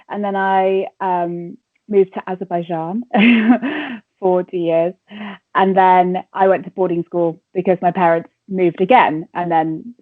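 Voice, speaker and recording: A woman's voice: on the low side, no echo. A woman in her twenties from Wales with a southern English accent, chatting casually and giggly as a radio reporter being interviewed. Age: 20s. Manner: chatty and informal, giggles often, frequent "like". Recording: thin, narrow phone-like call audio.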